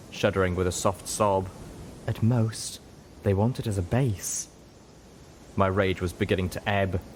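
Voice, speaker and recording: A man narrating a dramatic first-person story in a measured, grave and emotive manner. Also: sits low, slight background noise. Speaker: audiobook narrator.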